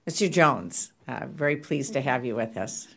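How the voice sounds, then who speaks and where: A woman's voice: very deep voice, expressive, moderate pace, a bit bright. Polite woman chairing a hearing. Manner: polite, welcoming. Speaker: committee chair. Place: hearing room.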